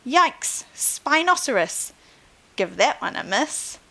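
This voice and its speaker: A flamboyant man who runs a magical shop, acted out by a woman reading a storybook. He is boastful and theatrical, rolling out his welcome at a slow, showy pace. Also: high voice, sing-song, vocal fry, pushed and bright, voice pushed up, heavily acted. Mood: grand, excited. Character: flamboyant boastful man, showman dinosaur shopkeeper. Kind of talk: storybook read aloud.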